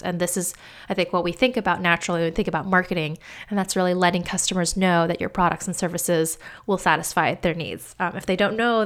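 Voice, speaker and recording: Female podcast host, solo explainer, lowish smooth voice, slightly gravelly from a cough. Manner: clear, measured and explanatory, with soft laughs.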